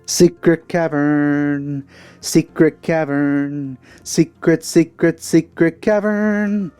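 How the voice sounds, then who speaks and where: Male let's-play host: unhurried, slightly dark voice, casual idle musing. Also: a little high, steady tone, clear, resonant tone, faint music behind. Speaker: gamer, video host.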